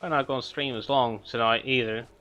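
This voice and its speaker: A man's voice: medium pitch, steady tone, brisk, bright, forceful tone, muffled recording. A man casually chatting to viewers while streaming a game. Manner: laid-back, chatty.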